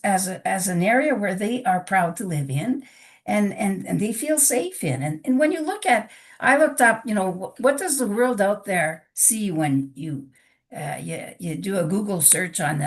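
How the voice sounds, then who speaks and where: A woman resident addressing a town council, her voice hoarse from being unwell, speaking earnestly with frequent restarts and halting pauses. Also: medium pitch. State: hoarse from illness. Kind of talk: public comment at a council meeting. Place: council meeting chamber.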